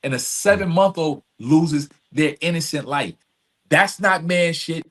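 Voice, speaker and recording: A man's voice: a little high, a little slow. A man speaking as an interview guest, emphatic and plain-spoken in street phrasing, repeating phrases to drive a point home. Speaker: podcast guest, restorative justice advocate. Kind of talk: conversational interview advocacy.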